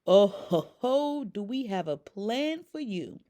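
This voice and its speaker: A woman voicing excitable, scheming male plush carnival toys in a storybook, with a boastful, theatrical delivery. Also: medium pitch, takes it slowly, pitched above the performer's own voice. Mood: excited, scheming.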